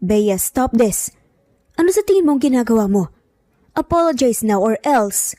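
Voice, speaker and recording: A woman's voice: on the high side, lively intonation, moderate pace, mellow, no room sound, studio quality, natural voice. A woman voicing an authoritative young professional man, firm and formally courteous, in an audio story.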